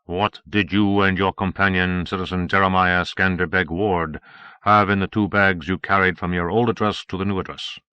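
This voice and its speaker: Stern, forceful police detective voiced by a man. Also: low-pitched, little pitch movement, moderate pace, clear, resonant tone, dry recording, dull audio. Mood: probing. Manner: harsh, probing, formal. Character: stern, suspicious male police detective sergeant. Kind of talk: audiobook character acting.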